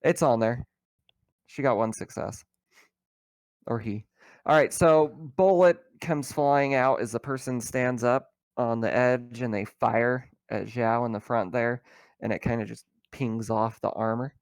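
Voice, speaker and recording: A man's voice: medium pitch, fairly even intonation, unhurried, dry recording. A man acting as game master in a casual tabletop roleplaying session, explaining things informally.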